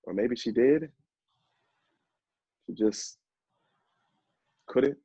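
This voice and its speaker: An actor playing an earnest, emotional man who knows addiction firsthand, speaking haltingly in a stage play. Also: mid-range voice, warm tone, slightly rough. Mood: upset, halting. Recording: roomy, dull stage recording.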